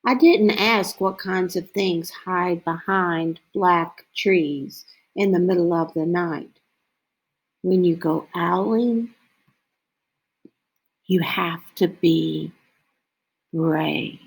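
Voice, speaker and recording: A woman reading a children's story aloud in a deliberate way, with frequent pauses between short phrases. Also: fairly low pitch, vocal fry, breathy edge, low-quality recording. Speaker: storybook reader.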